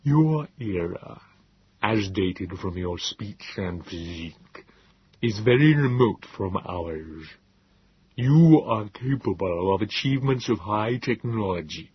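A man voicing a calm, clinical Morlock. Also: on the low side, husky, muffled recording, heavily acted. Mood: measured. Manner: slow, even, measured delivery.

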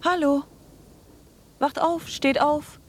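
A woman's voice: high voice, fairly even intonation, slow, slight background noise. An actress playing a distraught woman who pleads insistently in a German radio drama. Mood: urgent, pleading. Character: Anna, distraught pleading woman rousing people from sleep at night.

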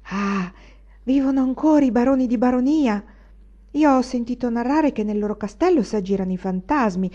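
A woman's voice: fairly high pitch, normal speed, warm tone, voice pushed up, natural voice. A woman voicing a superstitious, talkative old female servant in an audiobook. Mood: curious. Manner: fussy, chattering storyteller. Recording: dull lo-fi recording.

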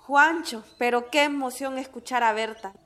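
Actress as high-voiced friendly woman activist. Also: moderate pace, sharp tone. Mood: surprised, moved. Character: Mercedes, friendly woman activist patiently explaining to comrades. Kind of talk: scripted radio drama dialogue, conversational. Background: music.

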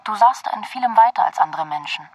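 A woman's voice: medium pitch, animated, fairly quick, darkish tone, slightly rough, low-quality recording. An actress voicing an earnest, candid woman confiding in a letter, in a literary radio drama. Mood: earnest, reflective.

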